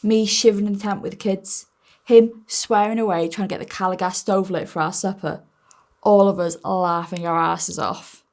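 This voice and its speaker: Actress doing wry fond mum telling family memories, stage monologue, normal woman's pitch. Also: fairly even intonation, moderate pace, a little mellow, lightly acted. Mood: fond, amused.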